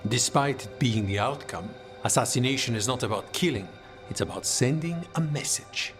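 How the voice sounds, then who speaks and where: A man voicing a composed, calculating assassin leader in a video game, with a measured, formal delivery. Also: mid-range voice, animated, fairly bright tone, faint music behind, lightly acted. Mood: measured, resolute.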